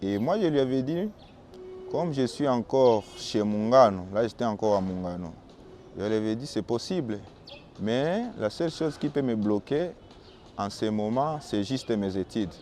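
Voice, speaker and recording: A young man from Bukavu with a Congolese French accent, Swahili his first language, calmly answering interview questions as a football goalkeeper. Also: on the low side, a little hiss or hum. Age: young adult. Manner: calm and measured, with small restarts.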